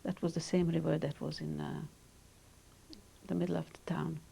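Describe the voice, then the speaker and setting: An older woman with a low, gravelly voice and a mellow, dark tone. She speaks in measured, reflective sentences and pauses often. Her first language is Hungarian, and she is being interviewed about her childhood. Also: level tone. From Romania. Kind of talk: oral history interview.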